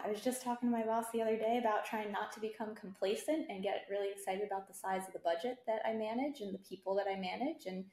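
A woman's voice: medium pitch, darkish tone. Woman guest in an echoey room. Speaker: podcast guest, dental services executive. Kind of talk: casual interview chat. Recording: echoey, roomy sound.

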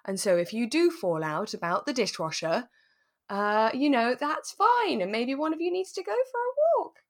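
A woman co-hosting a podcast in a relaxed conversational style, chatty and reflective, often ending on tag questions. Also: a little high, normal speed. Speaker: podcast co-host. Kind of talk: relaxed conversational drama recap.

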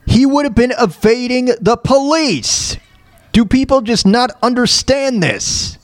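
High-pitched, animated man ranting on news. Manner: halting, repetitive, rambling delivery; blunt, emphatic. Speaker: show host. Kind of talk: opinionated commentary on news clips.

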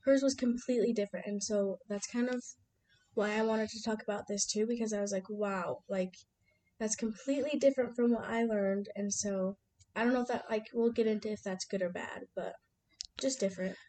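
A woman's voice: medium pitch, steady tone, brisk, mellow. A young woman with an American accent, chatting casually as a student podcast co-host. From Utah, United States. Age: young adult. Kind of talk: casual chat. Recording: distant from the mic.

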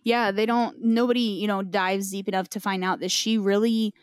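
A woman's voice: mid-range voice, steady tone, moderate pace, clear, resonant tone, no room sound. Informal, emphatic woman podcast host, dry sound. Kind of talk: casual conversational chat.

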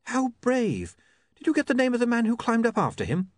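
A man's voice: very high-pitched, huge pitch swings, fairly quick, dry recording, studio quality, pitched above the performer's own voice, full character voice. A man voicing a nervous, prim young woman in an office, polite and a little hesitant. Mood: flustered interest.

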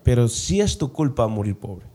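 A man hosts a casual interview in a warm, mellow voice at a middle pitch. He affirms his guest often and asks long, searching questions. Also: big pitch swings, normal speed, husky. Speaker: podcast host.

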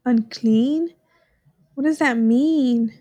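Podcast co-host, woman, higher voice, dry home recording, animated and joking. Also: extremely slow, no room sound, muffled recording. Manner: animated, exclaims, jokes around. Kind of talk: casual chat solving riddles. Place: at home in her parents' house.

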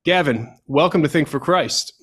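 A man hosting a podcast interview, reading a scripted introduction and asking questions in a measured, deliberate way. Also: fairly high pitch, a bit bright, slightly rough. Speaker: podcast host.

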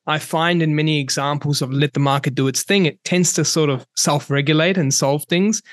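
A man's voice: a little high, no echo, clean studio sound. A man hosting a conversational podcast discussion, reflective and ready with his own opinions. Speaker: podcast host, property researcher.

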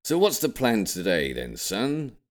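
A man's voice: lowish voice, huge pitch swings, a little slow, pitched above the performer's own voice. A man voicing a genial, easygoing old grandfather in an audiobook, chatty and mildly teasing. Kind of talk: audiobook character voice.

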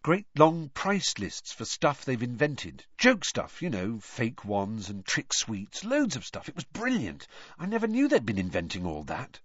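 A man's voice: mid-range voice, wildly swooping pitch, unhurried, fairly bright tone, breathy edge, no room sound, exaggerated voice. A man doing a sarcastic teenage boy. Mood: explaining. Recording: dry, slightly dull studio sound.

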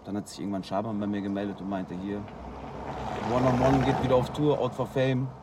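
A man from Germany, a guest freestyle rapper, telling stories in relaxed slangy casual chat, worn out after a long night. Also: fairly low pitch, fairly quick, very noisy. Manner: relaxed, slangy storytelling with fillers.

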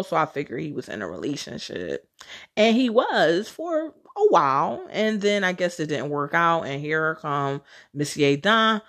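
Candid, chatty woman with a lowish voice. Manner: chatty, candid, slangy, swears casually. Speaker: podcast host. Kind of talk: casual solo storytelling.

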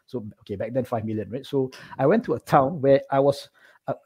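A middle-aged man from Singapore with a Singaporean accent, telling personal stories casually as an interview guest, animated and often checking in with "right?". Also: mid-range voice, brisk, slightly rough.

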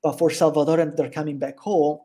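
A man's voice: on the high side, fairly quick, husky. Italian guy on a podcast, lightish voice, chatty, laughs a lot. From Italy. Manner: chatty, animated storyteller who laughs often. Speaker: guest. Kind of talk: casual interview chat.

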